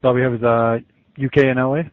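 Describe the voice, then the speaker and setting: Guy running a conference call, talks quick, tinny phone audio. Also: medium pitch, little pitch movement, no echo. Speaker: meeting leader. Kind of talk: brisk informal conference call. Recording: tinny, narrow phone line.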